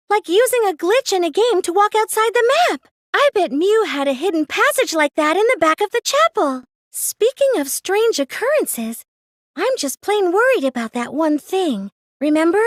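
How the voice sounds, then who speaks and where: Very high-voiced, meek anime schoolgirl. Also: no room sound, studio quality. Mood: thoughtful. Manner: hesitant, mild, questioning. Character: plain, meek, nerdy teenage girl in a murder mystery. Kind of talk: anime video game English dub acting.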